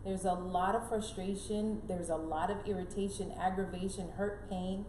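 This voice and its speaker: A woman's voice: medium pitch, steady tone, moderate pace, warm tone. A middle-aged woman raised in Canada and born in Jamaica, giving earnest conversational advice as an interview guest, emphatic and repeating key phrases. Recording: roomy echo, clear background noise.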